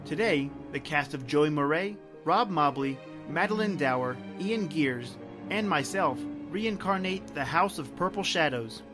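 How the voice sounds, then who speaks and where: Higher-pitched male podcast host over music. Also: expressive, unhurried. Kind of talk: scripted introduction. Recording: clear music behind the voice.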